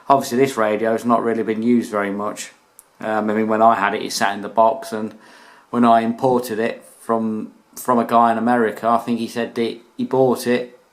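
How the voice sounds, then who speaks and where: A British man giving a casual, chatty video review as a hobbyist, using informal slang. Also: medium pitch. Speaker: radio hobbyist reviewing his collection.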